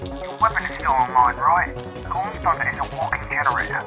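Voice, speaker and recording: A man voicing a level-headed scientist creature advising a fighter in an amateur animated dub, measured and explanatory. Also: mid-range voice, over music, lightly acted. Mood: concerned, explaining. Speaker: doctor and mission adviser.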